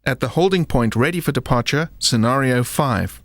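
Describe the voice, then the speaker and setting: A man's voice: medium pitch, lively intonation, moderate pace, fairly bright tone. A man giving short scripted announcements of exercise scenarios.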